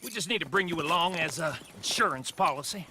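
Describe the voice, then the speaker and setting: Boastful high-pitched American outlaw. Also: male voice, expressive, fast, noisy background. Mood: businesslike. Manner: folksy, defensive bragging. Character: boastful, touchy male Wild West outlaw heist leader. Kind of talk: video game cutscene acting.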